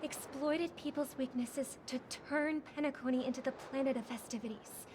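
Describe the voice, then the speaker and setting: A woman voicing a gentle, idealistic young female singer in a video game, speaking in a measured, softly formal way. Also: high-pitched, fairly even intonation, noisy background, natural voice. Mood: grave, regretful. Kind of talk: scripted video game voice acting. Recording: music behind the voice.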